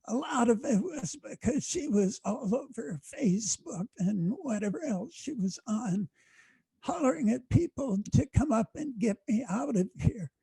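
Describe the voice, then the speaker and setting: Hoarse older man on a video call, low and dark in tone, dry close sound, rambling thanks. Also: no echo, rough recording. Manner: folksy, rambling, halting with fillers. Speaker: award recipient, fire-fighting landowner. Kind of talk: informal acceptance speech.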